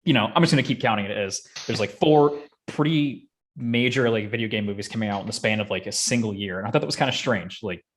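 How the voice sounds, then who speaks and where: A man's voice: fairly low pitch, fast talker, a little hiss or hum. A young man chatting casually as a podcast host. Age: young adult. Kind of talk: casual chat.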